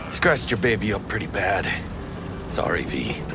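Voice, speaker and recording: A man's voice: lowish voice, noisy background. A male actor playing a brash, big-hearted street mercenary with a Mexican-American accent, slangy and mixing in Spanish, in a video game. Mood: subdued. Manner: slangy, peppering speech with Spanish. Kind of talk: cinematic video game acting. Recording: loud music behind the voice.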